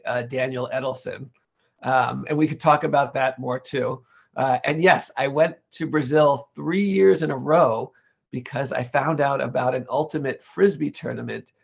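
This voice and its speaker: A man's voice: mid-range voice, creaky, husky, muffled recording. A man being interviewed about his career, talking in a thoughtful way with many restarts and self-corrections. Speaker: interview guest, legal English lecturer. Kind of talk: relaxed conversational interview.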